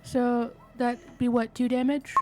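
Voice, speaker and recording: A woman's voice: on the high side, flat delivery, measured pace, noisy background. A woman chatting casually as a tabletop game player, asking questions and explaining what is happening.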